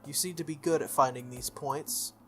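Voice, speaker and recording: A reader acts out a teenage schoolgirl mage from a visual novel, confident and teasing. She gives orders in a brisk, knowing way, with music underneath. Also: medium pitch, fairly even intonation, close to an everyday voice. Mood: brisk, bossy. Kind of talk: visual novel dialogue read aloud.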